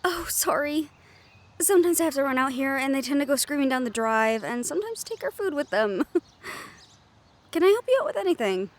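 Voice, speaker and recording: An actress plays a woman medium with a high voice. She is friendly and brisk, chatting matter-of-factly as the keeper of a haunted house in an audio roleplay. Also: expressive.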